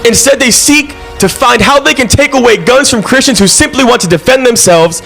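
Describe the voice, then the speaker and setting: A man's voice: very high voice, fairly quick, gravelly, a bit bright, faint music behind. A man recording an impassioned video statement, urgent and accusatory.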